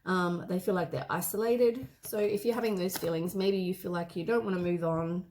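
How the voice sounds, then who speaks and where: A hoarse woman with a head cold gives a casual tarot reading, meandering and often correcting herself mid-sentence. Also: lowish voice, fairly even intonation, fairly quick, a little mellow, a little hiss or hum, poor audio. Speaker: tarot card reader.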